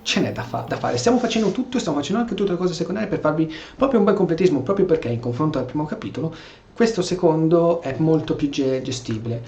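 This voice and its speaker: A man's voice: fairly high pitch, expressive, rapid-fire, a little mellow. An Italian man giving casual gameplay commentary as a streamer, often stumbling over and repeating his words. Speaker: gaming streamer. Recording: dull, echoey room recording.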